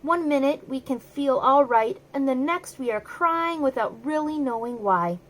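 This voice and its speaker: Woman voicing a wise, gentle old elephant, high-pitched. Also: animated, measured pace. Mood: gently explaining. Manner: soothing, reassuring, explanatory. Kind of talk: children's storybook read-aloud.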